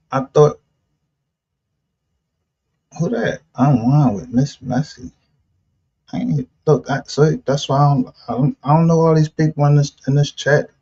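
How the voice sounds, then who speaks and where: Man, husky voice, street slang, profane, casual livestream talk, muffled lo-fi sound. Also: fairly high pitch, unhurried, long pauses. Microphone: streaming call. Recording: dull lo-fi stream audio.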